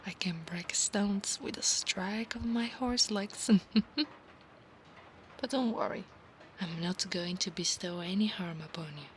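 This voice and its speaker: A woman's voice: medium pitch, takes it slowly, fairly bright tone, slight background noise, poor audio. A woman voicing a proud, insistent female centaur knight of a holy order in an ASMR roleplay, formal and commanding in her speech. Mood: soft, intimate. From Italy. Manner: formal, commanding, haughty, archaic phrasing.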